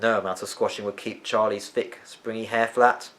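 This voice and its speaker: A man narrating a children's story as an expressive reader. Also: lowish voice, moderate pace.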